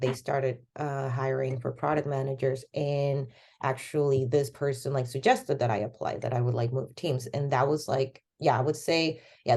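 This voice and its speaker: Guest, woman, 30s, from Venezuela; low, warm voice, loose rambling delivery. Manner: rambling, many fillers like "like" and "you know". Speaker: podcast guest. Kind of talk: casual conversational interview.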